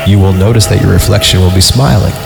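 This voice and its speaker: A man's voice: deep voice, steady tone, quick pace, gravelly. A man hosting a podcast, casually reading a creepy story with wry, goofy, mock-dramatic asides. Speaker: podcast host. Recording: roomy sound with loud music behind. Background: loud music.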